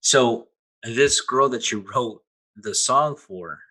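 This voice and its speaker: Bright-voiced stammering male podcast host. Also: medium pitch, slow pace. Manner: stammering, repeats words at starts. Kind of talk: casual podcast interview.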